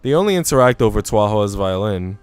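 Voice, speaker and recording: Guy doing a snooty young woman in a visual novel, sure of herself, smooth clear voice, music behind. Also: on the low side, very animated, fairly quick, no echo. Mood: knowing, dismissive. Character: haughty, dismissive young woman, quick to judge.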